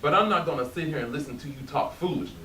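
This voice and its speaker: Loyal, pleading best friend played by a man, earnest, very varied pitch, echoey, distant reading. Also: lively intonation, very fast, pushed and bright. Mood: concerned. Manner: earnest, admonishing, colloquial. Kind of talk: stage play reading. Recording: echoey room, distant, hissy.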